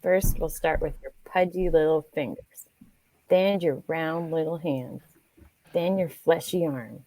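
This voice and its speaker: A woman playing a menacing older crime-boss mother in an amateur script read, making slow threats. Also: low voice, warm tone, slight background noise, low-quality recording.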